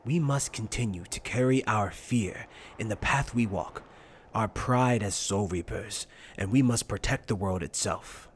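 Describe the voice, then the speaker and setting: A man playing a grave, philosophical warrior captain in an anime fan dub, speaking in a measured, formal manner. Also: lowish voice, a little hiss or hum, natural voice. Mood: solemn, grave.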